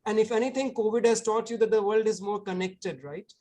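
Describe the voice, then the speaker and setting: A man's voice: high voice, fairly even intonation, a little fast, warm tone. Earnest man explaining at length. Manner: earnest and explanatory, repeats words while thinking. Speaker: book author answering questions. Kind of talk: Q&A answer at a book talk.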